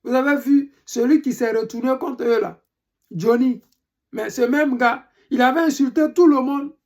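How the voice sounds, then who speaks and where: Gravelly Ivorian voice, indignant political monologue. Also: high-pitched, moderate pace, darkish tone. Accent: Ivorian French. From Côte d'Ivoire. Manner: indignant, emphatic, repeats questions. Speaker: political commentator. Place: living in Europe.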